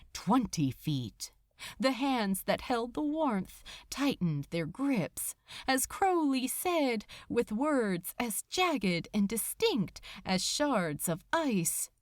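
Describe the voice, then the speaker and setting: Slow, highly expressive woman narrator. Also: no echo, studio quality. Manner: solemn, deliberate, suspenseful. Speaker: podfic narrator. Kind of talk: dramatic scripted story narration.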